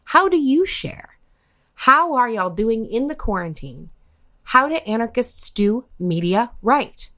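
A woman's voice: on the high side, animated, takes it slowly, bright, forceful tone. A woman reading out a scripted podcast introduction as an announcer. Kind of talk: scripted read narration of questions.

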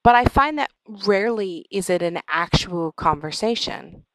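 Low-pitched woman hosting a thoughtful talk. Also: expressive, normal speed, dry recording, poor audio. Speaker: podcast host. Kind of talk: conversational interview with reflective remarks.

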